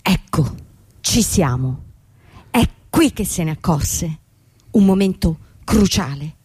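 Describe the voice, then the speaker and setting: An actress playing a young, burdened but firm company boss in a theatrical radio drama. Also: female voice, on the low side, lively intonation, slow, warm tone, breathy edge, heavily acted. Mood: directive, grim. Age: young adult.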